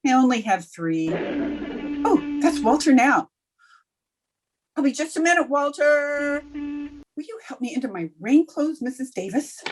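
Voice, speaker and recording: A woman playing a quick-witted high school English teacher who wisecracks dryly in old-time radio comedy style. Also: animated, slight background noise, low-quality recording. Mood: brisk, wisecracking. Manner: dry, sardonic wisecracking.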